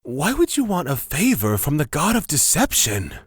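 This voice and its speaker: A man's voice: on the high side, wildly swooping pitch, normal speed, breathy edge, no echo, faint music behind, exaggerated voice. A man playing a humble, laid-back elf wanderer in a fantasy audio drama, reflective and probing. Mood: probing, curious.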